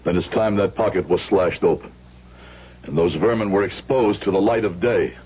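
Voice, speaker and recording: An actor playing a proud, principled immigrant shoemaker in a radio drama, speaking formally and emphatically. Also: male voice, sits low, husky. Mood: indignant. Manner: formal, emphatic, deliberate speech. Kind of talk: old-time radio drama acting. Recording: muffled old radio recording.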